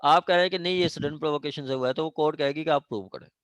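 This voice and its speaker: A man's voice: fairly high pitch, fast talker, a bit bright, dry recording. A man with a Pakistani accent giving an informal classroom lecture as a teacher, often checking that students follow. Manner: didactic, frequent check-in questions to students. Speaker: law lecturer. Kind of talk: informal classroom law lecture mixing Urdu and English legal terms.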